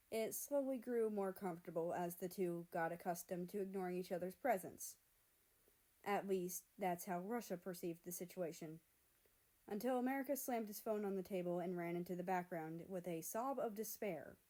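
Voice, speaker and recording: A woman reading fan fiction aloud as an expressive storyteller. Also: medium pitch, moderate pace, poor audio.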